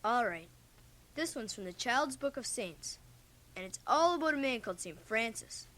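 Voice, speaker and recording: A woman acting as an earnest, stubborn boy of fourteen in a radio play. The voice is high and bright with very lively swings, on a noisy old cassette recording. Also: moderate pace, lightly acted. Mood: patient, instructing. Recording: noisy cassette transfer of 1980 radio drama.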